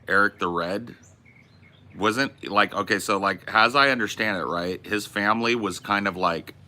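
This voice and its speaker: Streamer host, low and punchy, profane rambling chat. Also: male voice, moderate pace, pushed and bright. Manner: rambling, profane, restarts and self-corrections. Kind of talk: casual livestream chat reading viewer messages.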